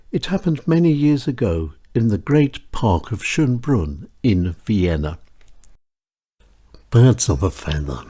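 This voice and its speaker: Deep, slow, husky male storyteller. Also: dark tone. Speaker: author reading own short stories. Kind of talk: scripted short story narration.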